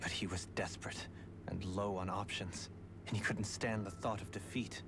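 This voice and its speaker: A man playing a refined, melancholy young nobleman in a video game, speaking formally and with measured gravity. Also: deep voice, steady tone, whispered. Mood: grave. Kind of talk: video game cutscene acting. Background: game music.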